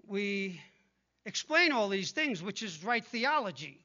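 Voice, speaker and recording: A man in his sixties preaching a sermon, speaking conversationally and growing hushed when he prays. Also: sits high, big pitch swings, a little slow, highs cut off. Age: 60s. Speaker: pastor. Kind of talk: sermon with prayer and scripture reading.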